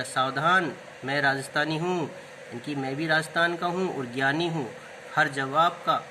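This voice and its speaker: A man's voice: fairly high pitch, noisy background. A man teaching a lesson, explaining word meanings step by step and repeating key terms. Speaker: Hindi literature teacher.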